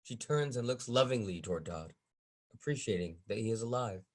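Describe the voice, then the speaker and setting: A man's voice: lowish voice, animated, brisk, a little mellow. A man reading stage directions as the narrator of a script table read.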